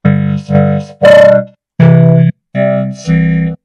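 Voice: drawn-out words, warm tone, no room sound, highs cut off, faint music behind. A synthetic, robotic vocoder voice delivering melodic demo phrases.

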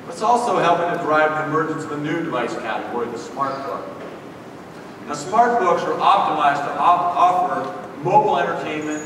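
Man presenting in an echoey hall. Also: fairly high pitch. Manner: polished, promotional. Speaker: keynote presenter. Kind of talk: corporate keynote presentation. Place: large conference hall stage. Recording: echoey hall from far off with noise.

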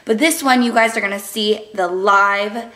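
A young woman in her twenties chatting to a handheld camera at arm's length, a little raspy from just waking, upbeat with many fillers. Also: mid-range voice, fairly even intonation, moderate pace, sharp tone. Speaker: vlogger. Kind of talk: casual vlog chat to camera. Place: apartment rooms, gym and car. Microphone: handheld fisheye action camera at arm's length.

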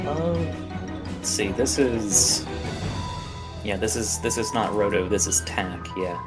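A man's voice: lowish voice, lively intonation, takes it slowly. Casual male gamer with music behind. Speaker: tabletop game player. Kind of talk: casual game chat.

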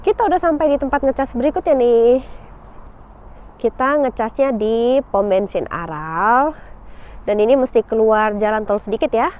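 A woman's voice: fairly high pitch, expressive, moderate pace, highs cut off. A woman travel vlogger chatting casually and upbeat to her viewers, dropping English words into her speech. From Indonesia. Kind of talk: casual travel vlog narration.

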